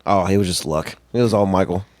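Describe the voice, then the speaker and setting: A soft-spoken young man who calls his own voice high-pitched, chatting casually as a podcast guest. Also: brisk. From North Carolina. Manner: soft-spoken, laughs often.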